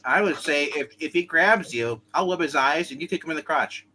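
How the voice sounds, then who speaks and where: A man's voice: on the high side, brisk, pushed and bright, slight background noise, poor audio. A man playing a tabletop game, chatting and bantering casually with the group.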